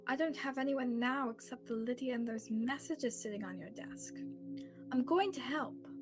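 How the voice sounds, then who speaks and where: A woman's voice: high voice, normal speed, music in the background, natural voice. A young woman playing a determined, emotional amateur sleuth in radio theatre style. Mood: insistent. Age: young adult.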